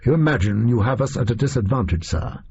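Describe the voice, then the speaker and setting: A male reader voicing a haughty, analytical Victorian gentleman detective in an audio story, speaking formally and precisely. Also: medium pitch, lively intonation, quick pace, husky, highs cut off. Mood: coolly challenging.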